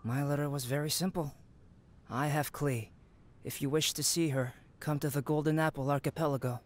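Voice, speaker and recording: A male actor voicing a calm, politely detached young alchemist in a video game, measured and dry. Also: fairly high pitch, close to an everyday voice. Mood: dry, composed.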